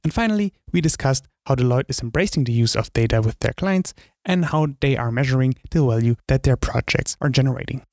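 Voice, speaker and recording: A man's voice: mid-range voice, lively intonation, a little fast, a little mellow, no echo. A man hosting a podcast, reading a scripted intro and then chatting with a guest in an upbeat way. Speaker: podcast host. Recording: dry voice with faint music.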